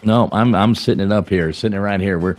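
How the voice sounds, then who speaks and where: A man's voice: lowish voice, quick pace, faint music behind. A man hosting a casual livestream chat from a pub, chatty and rambling, laughing often. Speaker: livestream host. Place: Irish pub.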